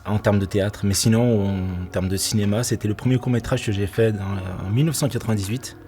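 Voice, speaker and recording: A man's voice: fairly low pitch, steady tone, quick pace, dark tone. A middle-aged man from Portugal, an actor, telling stories from film shoots in a casual interview, laughing often and restarting his sentences. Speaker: actor and nightclub security manager.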